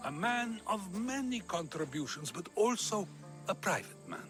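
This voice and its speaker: An actor playing a thoughtful male philosopher in a video game cutscene, speaking in a measured way. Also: high voice, sing-song, over music. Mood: calm. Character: older male philosopher, wise and questioning. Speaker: game character.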